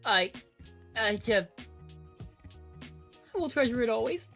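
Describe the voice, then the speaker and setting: A woman playing a shy, good-hearted young hero in an audio drama, with a halting, bashful delivery. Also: on the high side, animated, gravelly, a bit bright, faint music behind, heavily acted. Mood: flustered, touched. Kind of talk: scripted audio drama acting.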